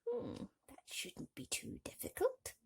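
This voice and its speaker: A woman voicing a wise, helpful old village woman in a bedtime story, slow and reassuring. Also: close to an everyday voice.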